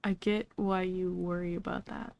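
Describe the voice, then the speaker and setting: A woman giving casual, dry, deadpan commentary as she streams a video game. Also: lowish voice, moderate pace, creaky, over a noisy crowd. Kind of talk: casual commentary over gameplay. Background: game music.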